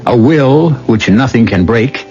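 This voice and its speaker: A man hosting and narrating a radio drama in a grave, measured, rhetorical manner. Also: mid-range voice, lively intonation. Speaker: host and narrator. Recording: muffled vintage radio broadcast. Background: background music.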